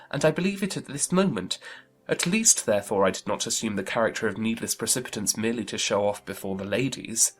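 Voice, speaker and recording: An actor playing an amiable, impulsive young gentleman with exclamatory, formal speech in a period audiobook drama. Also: mid-range voice, natural voice. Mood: protesting. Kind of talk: dramatised classic novel audiobook.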